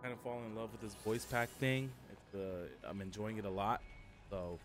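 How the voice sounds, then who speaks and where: Man livestreaming over clear background noise. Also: on the low side, fairly even intonation, normal speed. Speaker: game livestreamer. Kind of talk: casual livestream chat with short voice commands to a game.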